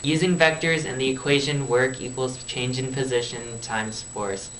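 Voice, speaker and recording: A man's voice: medium pitch, steady tone, normal speed, a bit bright, echoey room, recorded from a distance. A man giving scripted educational narration as a physics explainer, recorded with amateur, noisy sound.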